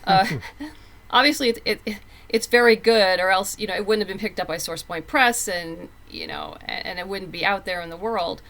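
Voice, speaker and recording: A woman's voice: medium pitch, sing-song, normal speed, a bit bright. A woman speaking as a guest writer in a casual podcast interview, often restarting and repeating words as she goes. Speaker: guest comic book writer.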